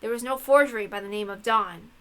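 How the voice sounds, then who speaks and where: A woman's voice: mid-range voice, fast talker, sharp tone. A woman reading a visual novel aloud as dramatic story narration. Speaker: story reader.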